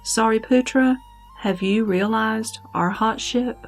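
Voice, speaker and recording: A woman playing a serene, wise heavenly goddess in a scripted sutra audio drama, teaching in a measured, declamatory way. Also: on the high side. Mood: calm, assured. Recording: background music under the voice.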